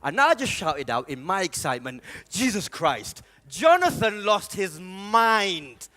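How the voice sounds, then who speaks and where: High-voiced, animated man telling a comic story. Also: unhurried. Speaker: stand-up comedian.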